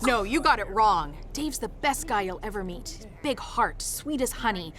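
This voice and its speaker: A woman's voice: mid-range voice, animated, normal speed, a bit bright, soft background music, lightly acted. A woman playing a feisty, frank passenger telling her stories in a salty, colloquial way in a radio play. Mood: frank, confiding. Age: 30s or older. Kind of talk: radio play, conversational storytelling.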